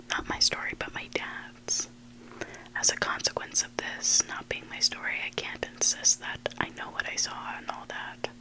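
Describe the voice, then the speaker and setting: A person reading stories aloud in a breathy, hushed ASMR whisper, close to the mic. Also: rough recording. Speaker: host reading listener-submitted stories. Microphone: close mic, made for headphones.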